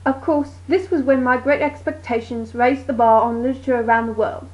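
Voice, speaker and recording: A jaunty, boastful Victorian English novelist played by a woman, pitched high. It is a puppet show monologue on a dull home recording. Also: steady tone, brisk, a little mellow, natural voice. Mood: jaunty, self-satisfied.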